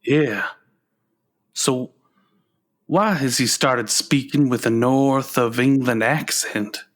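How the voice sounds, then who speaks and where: A man playing a puzzled, deadpan grown son in a comic radio play. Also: mid-range voice, takes it slowly, natural voice.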